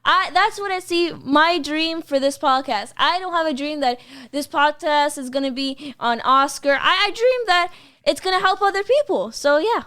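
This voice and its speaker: A woman's voice: high voice, moderate pace, no room sound. A young woman co-hosting a family podcast from a home gaming room, talking casually and joking playfully into a studio mic. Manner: playful, boastful joking, animated. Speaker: podcast co-host. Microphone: studio mic on boom, headset.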